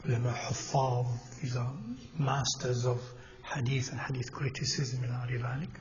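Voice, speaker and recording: A man teaching a congregation in a mosque, speaking in a measured, halting way with pauses and self-corrections, and putting questions to his listeners. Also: mid-range voice, flat delivery, dull audio. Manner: asks the audience questions as he teaches. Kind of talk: Islamic lecture to a congregation. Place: mosque hall.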